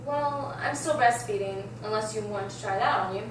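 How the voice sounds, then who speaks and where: A woman's voice: medium pitch, normal speed, lightly acted. A woman reading the part of an easygoing big sister and new mother in an amateur script table read. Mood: teasing. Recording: very echoey room, distant mic, clear background noise.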